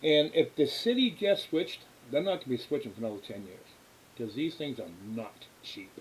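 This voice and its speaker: American guy doing a casual hobby stream, kinda halting and folksy, hissy background, medium pitch. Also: animated. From New England, US. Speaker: livestream host, radio scanner hobbyist. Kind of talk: casual explanatory livestream chat. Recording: hissy, clearly noisy background.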